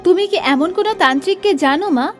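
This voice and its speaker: A woman playing a caring, anxious young mother in animated horror story dialogue. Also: very high-pitched, a little fast, soft background music.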